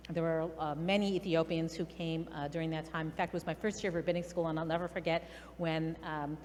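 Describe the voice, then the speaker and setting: A middle-aged woman rabbi introducing a guest speaker in a halting way, with fillers. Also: mid-range voice, big-room sound, slight background noise.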